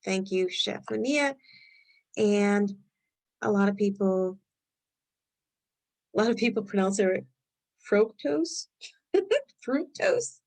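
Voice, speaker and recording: A woman with a Rhode Island accent hosting a live health webinar, talking loosely and thinking out loud as she goes. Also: medium pitch, expressive, slow pace, creaky, long pauses. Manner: loose, conversational, thinks aloud while reading the chat. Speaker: webinar host.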